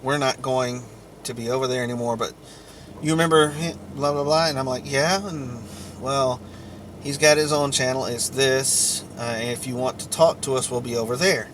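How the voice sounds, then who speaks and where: A man giving a rambling personal account as a vlogger, halting between short phrases. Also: mid-range voice, fairly even intonation, a bit bright, a little hiss or hum.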